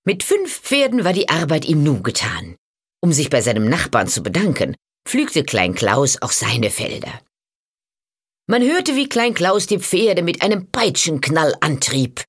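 Casting: woman narrator for fairy tales, deep husky tone, unhurried, expressive delivery. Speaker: storyteller, narrator. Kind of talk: scripted fairy-tale narration.

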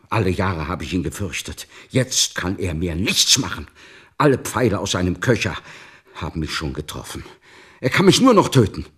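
An actor playing an embittered, grieving old father in a radio play, with a rough, husky voice and a brooding, defiant, anguished delivery. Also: deep voice. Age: older. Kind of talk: dramatic radio play acting.

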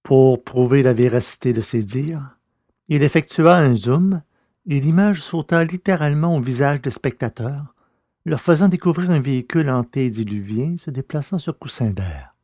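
A man narrating a scripted adventure story in a measured, literary way. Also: mid-range voice, expressive, dark tone, no room sound, clean studio sound.

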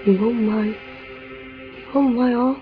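A woman's voice: a little high, fairly even intonation, moderate pace, faint music behind, close to an everyday voice. A woman playing an intense, resentful teenage schoolgirl in a radio drama, insistent and eerie, with a Hong Kong Cantonese accent. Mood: insistent, agitated. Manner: insistent, brooding. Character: intense, cold, resentful teenage schoolgirl, eerily fixated on exams. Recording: muffled 1990s radio drama recording.

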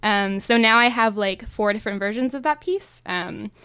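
A young woman slam poet chatting in an interview, talking with frequent ums and quick asides. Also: medium pitch, pushed and bright, smooth, dry recording. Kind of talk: casual interview chat.

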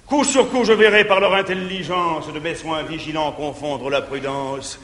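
A man's voice: fairly high pitch, normal speed, fairly bright tone, lightly acted. An actor playing a jealous, bitter middle-aged man, declaiming verse on stage in an old theatre recording. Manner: brooding, declamatory. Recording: echoey 1959 theatre recording.

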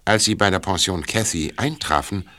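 A man's voice: low-pitched, moderate pace, a bit bright. A man reading scripted narration for an audio drama.

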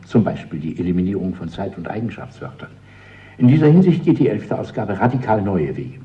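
An actor playing a courteous, guarded male party official in a radio drama, speaking politely and deliberately with an insinuating undertone. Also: sits low, very animated, highs cut off.

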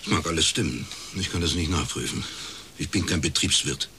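Casting: middle-aged private eye, very dry and terse, low-pitched, crisp bright tone, radio drama. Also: male voice, fairly quick, slight background noise. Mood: dry, sceptical. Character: sceptical, laconic male ex-academic turned private detective. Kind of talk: radio crime drama acting and first-person narration.

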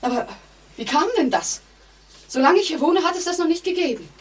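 An anxious woman in an old radio thriller. Her voice is high and swings widely in pitch. She speaks hesitantly, with brittle politeness that barely hides her fear. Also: expressive. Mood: alarmed. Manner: hesitant, halting, falsely polite. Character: nervous woman tenant trapped in lift, increasingly frightened. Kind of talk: vintage German radio crime play acting.

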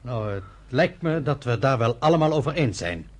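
An actor playing a young, energetic, pragmatic leader, reasoning persuasively in a Dutch radio play. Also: male voice, mid-range voice, moderate pace, close to an everyday voice. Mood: reasoned, persuasive.

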